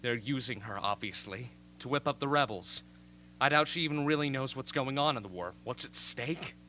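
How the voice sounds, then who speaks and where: Radio play: man as young captive, insistent and pleading, very sharp forceful tone, faint hum. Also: medium pitch, normal speed, close to an everyday voice. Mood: bitter. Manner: urgent, emphatic, insistent. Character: earnest, strained young captive pleading for peace. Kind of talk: amateur radio drama acting.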